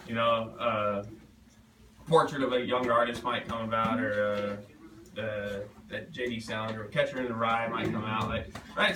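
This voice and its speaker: A man speaking as an author in an informal question session at a reading, rambling and self-deprecating as he thinks aloud. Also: medium pitch. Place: bookstore event room. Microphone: distant room microphone. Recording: echoey room, distant mic, noisy background.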